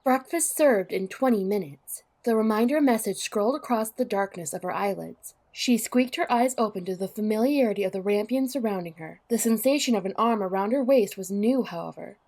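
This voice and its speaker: A woman's voice: mid-range voice, brisk, hardly any pauses, a little mellow. A woman narrator reading scripted story narration for an audio drama.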